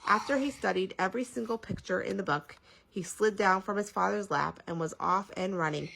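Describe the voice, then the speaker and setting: A woman reading a children's bedtime story aloud in an expressive, animated way, drawing out some words. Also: mid-range voice, brisk, creaky, slight background noise, poor audio.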